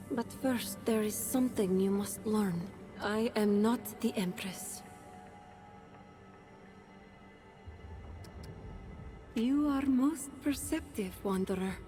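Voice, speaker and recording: Woman as serene alien ruler, game dialogue, slow delivery, earnest, solemn, lyrical, faint music behind. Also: medium pitch, dark tone, close to an everyday voice. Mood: calm, revealing. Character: calm, earnest alien woman, serene stand-in ruler pleading for her people. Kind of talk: video game dialogue acting.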